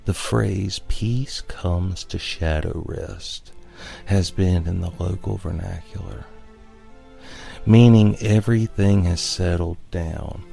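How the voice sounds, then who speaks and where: A man narrating a scripted spooky tale in a measured, dramatic storyteller's manner. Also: sits low, vocal fry, mellow. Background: music.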